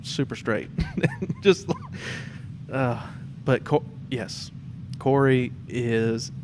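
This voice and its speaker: A man's voice: medium pitch, animated, extremely slow, background noise. A man hosting a podcast in a casual, conversational interview style. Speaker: podcast host.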